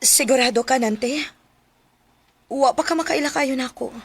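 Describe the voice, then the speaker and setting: A caring single mother, with a Cebuano Filipino accent and a fairly high voice. She is hesitant and questioning, in radio drama style. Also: moderate pace, slightly rough, no echo. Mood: tender, unsure. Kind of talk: Philippine radio drama acting.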